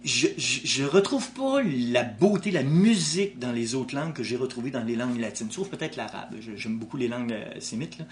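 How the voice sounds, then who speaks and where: A man's voice: medium pitch, animated, normal speed, few pauses, slight background noise. A man with a Québécois French accent, an author answering interview questions in a thoughtful way, with frequent restarts. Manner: thoughtful, with many restarts and hesitations.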